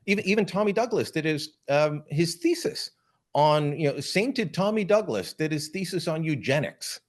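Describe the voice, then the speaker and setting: A man's voice: a little high, lively intonation, moderate pace. A man hosting an interview who prods his guest and jokes at his own expense. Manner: joking, self-deprecating, prodding. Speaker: interview host. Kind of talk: interview conversation.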